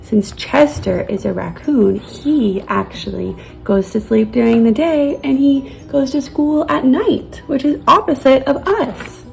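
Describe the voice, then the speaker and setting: A woman teacher reading a storybook aloud to young children in a gentle, soothing manner. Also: high voice, measured pace, creaky, hardly any pauses, soft background music, rough recording.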